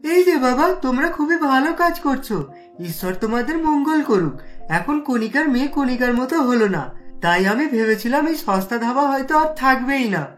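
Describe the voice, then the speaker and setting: A woman voicing a kindly old village grandfather in a cartoon, speaking gently and fatherly. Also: high voice, animated, normal speed, soft background music. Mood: warm blessing. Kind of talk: cartoon voice acting.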